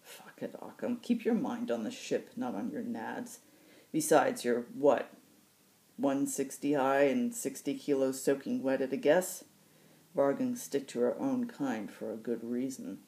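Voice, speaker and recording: A woman's voice: rumbling low, steady tone, a little slow, warm tone. A woman voicing a huge male sergeant in an audiobook, a stern but fond commander who speaks bluntly and dryly. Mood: annoyed, blunt.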